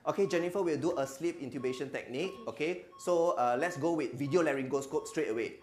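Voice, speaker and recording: A man from Malaysia, an anesthesiologist calmly leading a live crisis simulation and reassuring his team and patient. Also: a little high, fast talker, soft background music. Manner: calm, reassuring, talks to staff and patient. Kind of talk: live simulation role-play at a conference. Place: conference stage.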